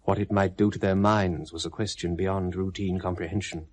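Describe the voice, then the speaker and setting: A man giving measured, solemn scripted narration for a 1970s radio drama. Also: low-pitched, flat delivery, a little mellow.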